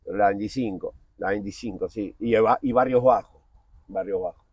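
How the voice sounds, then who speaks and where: A man's voice: on the low side, a little mellow, husky, no echo. Blunt slangy porteño man in his forties. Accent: Buenos Aires Spanish. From Argentina. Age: 40s. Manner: blunt, slangy, halting with restarts. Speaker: guest, TV producer. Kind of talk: candid casual interview. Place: outdoor park table.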